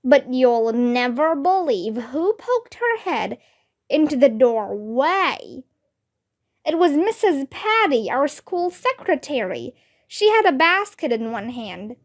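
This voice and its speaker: A woman reading a children's book aloud in an animated, expressive way. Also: sits high, takes it slowly. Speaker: storybook reader.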